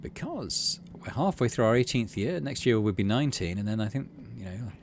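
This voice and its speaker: A man's voice: mid-range voice, sing-song, a little fast, a little hiss or hum. A man hosting a gaming video, narrating and musing in a casual, conversational way. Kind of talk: casual playthrough commentary and narration.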